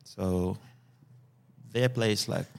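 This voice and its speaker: Podcast guest: man, early 40s, low gravelly voice, calm and steady, tags lines with "yeah?". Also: extremely slow. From Fiji. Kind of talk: personal storytelling in a podcast interview.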